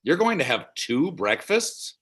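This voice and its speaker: A man's voice: on the high side, big pitch swings, a little slow, fairly bright tone, slightly rough, heavily acted. A man acting a stubborn, moralizing older father at a comedy table read, prudish and insistent. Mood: disapproving.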